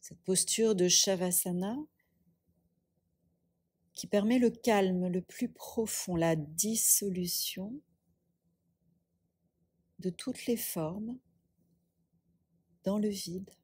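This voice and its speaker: Woman yoga teacher doing guided relaxation, really slow n breathy, long pauses, super dry close sound. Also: medium pitch, no room sound. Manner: calm, unhurried, instructing in short phrases with long pauses. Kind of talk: guided meditation.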